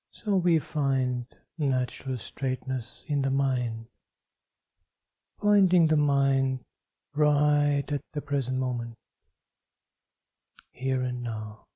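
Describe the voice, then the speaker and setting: A man leading a guided meditation as a teacher, speaking calmly and in measured short phrases with long pauses. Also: medium pitch, husky, dry recording, highs cut off.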